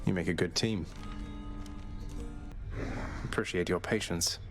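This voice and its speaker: Low-voiced, wry young prince. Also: male voice, steady tone, a little slow, over music. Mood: tactful. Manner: dry, understated, hesitant. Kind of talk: dramatic video game voice acting.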